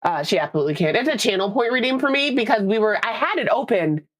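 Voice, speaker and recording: A woman's voice: a little high, expressive, fast talker. Congested, nasal young woman streamer. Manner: chatty, rambling, laughs easily. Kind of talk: casual live-stream chat.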